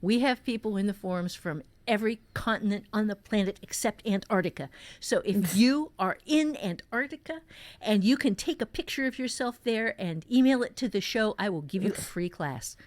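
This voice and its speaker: A woman's voice: mid-range voice, animated, moderate pace, no room sound. A woman hosting a podcast as a writing teacher, chatting casually in a folksy, emphatic way.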